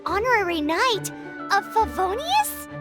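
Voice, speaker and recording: A tiny, excitable fairy companion from a fantasy game. The voice is extremely high and childlike, swinging lively with curiosity. Music plays behind it. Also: female voice, measured pace, exaggerated voice. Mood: curious. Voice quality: squeaky, childlike. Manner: exaggerated, excitable. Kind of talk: video game voice acting.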